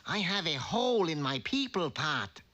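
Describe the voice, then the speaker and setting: A man's voice: fairly high pitch, sing-song, normal speed, sharp tone, lo-fi sound. A man voicing a greedy, deceitful, man-eating giant troll in a comic cartoon. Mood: gleeful, sly. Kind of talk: comic cartoon character acting.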